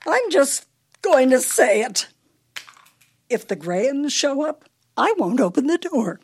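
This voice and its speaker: Fretful, husky elderly wife. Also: female voice, high voice, big pitch swings, unhurried, a little mellow, exaggerated voice. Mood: indignant. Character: fretful, grieving elderly wife, clinging to memories. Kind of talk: radio play acting.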